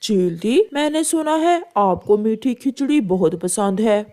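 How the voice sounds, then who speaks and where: A woman voicing a clever, smooth-talking male pigeon in a children's cartoon story, with a coaxing, deliberate delivery. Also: fairly high pitch, animated, warm tone. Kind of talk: animated children's moral story voice acting.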